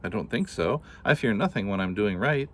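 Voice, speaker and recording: A man's voice: lowish voice, animated, brisk, fairly bright tone. A man doing a brave young girl. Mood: determined. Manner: earnest and determined.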